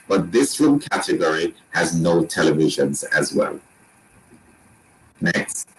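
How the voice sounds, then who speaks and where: Deep-voiced Jamaican man presenting resort rooms. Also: fairly bright tone, rough recording. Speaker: resort sales representative. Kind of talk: presenting a slideshow tour.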